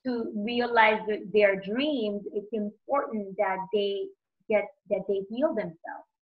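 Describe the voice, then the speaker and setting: A woman's voice: mid-range voice, fairly even intonation, slow pace, phone-quality sound. A woman hosting a conversation, affirming her guest and echoing what she hears. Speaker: podcast host, coach. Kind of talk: interview conversation.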